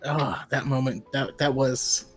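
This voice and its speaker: A man's voice: mid-range voice, measured pace, faint music behind. A man co-hosting a fan livestream, casually retelling a story and acting out the reactions.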